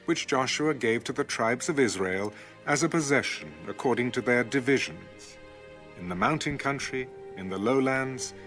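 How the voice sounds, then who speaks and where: A man's voice: mid-range voice, fairly bright tone. A man narrating scripture in a dramatic, scripted style as an audio Bible reader. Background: music.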